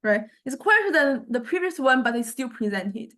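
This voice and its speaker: A woman giving a step-by-step software tutorial as a lecturer, hesitant and halting, often checking in with listeners. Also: sits high, big pitch swings. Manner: hesitant, halting delivery with repeated check-ins.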